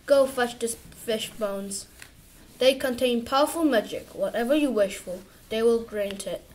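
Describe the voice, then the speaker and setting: A woman voicing a gentle, wise, compassionate old man in a storybook read-aloud, soothing in manner. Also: a little high, a little slow, slight background noise, natural voice, poor audio. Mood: gently instructing.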